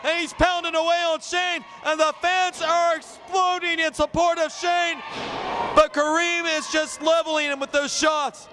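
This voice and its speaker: A man calling a wrestling match play-by-play, loud and emphatic, shouting over a cheering crowd. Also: very high voice, flat delivery, unhurried. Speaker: wrestling commentator. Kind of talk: play-by-play commentary. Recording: noisy arena with loud crowd.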